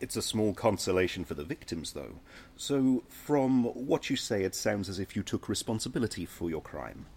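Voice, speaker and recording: Prosecutor male actor: educated, needling, sarcastic, low voice with very lively swings. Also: moderate pace, slightly rough, heavily acted. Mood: accusing. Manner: sarcastic, formal, probing. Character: smug, sneering prosecuting lawyer, educated and needling. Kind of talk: audio drama acting.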